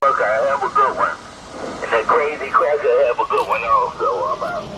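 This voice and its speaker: A man's voice: medium pitch, huge pitch swings, normal speed, bright, forceful tone. A man making casual radio chatter, heard through a CB radio speaker. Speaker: radio operators on air. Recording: very noisy, static-filled CB radio reception.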